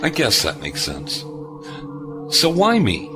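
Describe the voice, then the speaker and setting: A man playing a gruff, skeptical veteran starship officer in a sci-fi audio drama, with a dry, probing manner. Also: mid-range voice, huge pitch swings, takes it slowly, over music. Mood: curious, wary.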